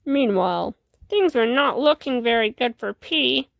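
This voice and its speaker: A woman reading a children's storybook aloud as an expressive narrator. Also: fairly high pitch, moderate pace, vocal fry, dry recording. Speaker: storybook reader.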